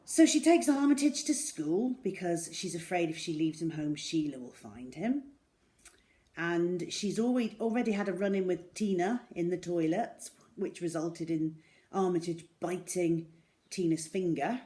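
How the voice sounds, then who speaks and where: A woman reading a children's story aloud in an expressive, dramatic way, with deliberate pauses. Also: on the low side.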